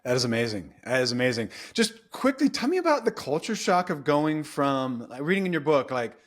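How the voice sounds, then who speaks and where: A man's voice: fairly high pitch, very animated, fairly quick. A middle-aged man from California hosting a faith podcast, chatting with asides as he interviews his guest. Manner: conversational, asks questions, rambling asides. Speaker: podcast host.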